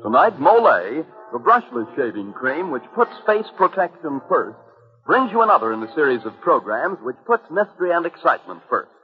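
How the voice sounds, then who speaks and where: A man's voice: fairly high pitch, big pitch swings, normal speed, soft background music. A man reading a scripted sponsor introduction in 1940s radio announcer style. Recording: muffled lo-fi old radio.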